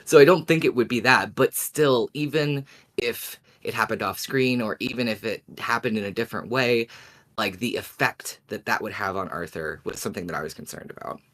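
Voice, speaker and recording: A woman's voice: very deep voice, moderate pace. A woman co-hosts a podcast in a casual, conversational register. She talks as a fan discussing fiction with a fellow host.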